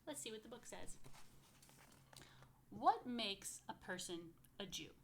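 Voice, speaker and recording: A woman's voice: a little high, expressive, a little slow, creaky, slight background noise. An American woman reading a picture book aloud to young children, with chatty asides and playful questions to her listeners. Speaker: rabbi, story time host for young children.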